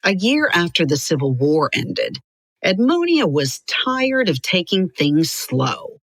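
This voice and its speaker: A woman narrating a scripted children's biography in an expressive, clearly articulated storytelling style. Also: fairly low pitch, slow pace, no room sound.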